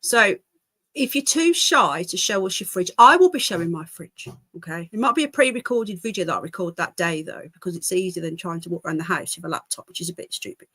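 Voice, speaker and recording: A woman's voice: on the low side, expressive, fairly quick, pushed and bright, husky, no echo. A British woman with a congested voice hosts a livestream in a casual, rambling way, chatting to viewers and easily drifting into asides. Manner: chatty, easily distracted, jumping between asides. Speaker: livestream host.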